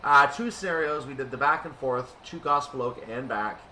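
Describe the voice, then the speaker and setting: A man's voice: mid-range voice, moderate pace, bright, forceful tone, slight background noise. A man giving explanatory, methodical gameplay commentary, thinking aloud as he plays a train simulator. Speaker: video game streamer playing a train simulator.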